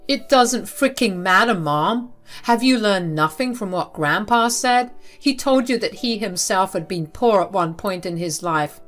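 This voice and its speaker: A woman's voice: mid-range voice, lively intonation, unhurried, a bit bright, soft background music. Brief: a blunt, reproachful young man, read by a woman in her own voice. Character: indignant grown son.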